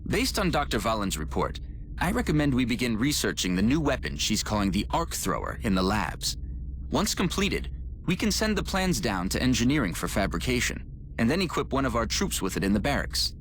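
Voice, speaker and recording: A man playing a firm, dutiful military operations officer, brisk and businesslike, in a video game. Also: medium pitch, sing-song, fairly bright tone, a little hiss or hum. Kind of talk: scripted video game dialogue.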